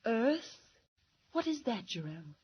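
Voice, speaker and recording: A woman's voice: a little high, big pitch swings, takes it slowly, slightly rough, exaggerated voice. An actress playing a devoted, worried alien wife and mother in an old-time radio drama, speaking in an earnest, melodramatic way. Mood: gentle, curious. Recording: dull, noisy old radio recording.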